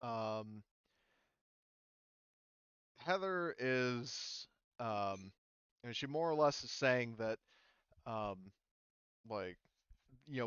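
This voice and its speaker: A man narrating a tabletop game as game master, speaking casually and haltingly with the odd swear word. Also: medium pitch, fairly bright tone, clean tone, no echo, muffled recording. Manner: halting, casual, mildly profane.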